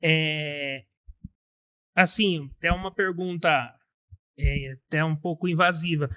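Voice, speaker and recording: High-pitched man hosting a podcast. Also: fairly even intonation, takes it slowly, fairly bright tone. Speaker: podcast host. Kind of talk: casual interview.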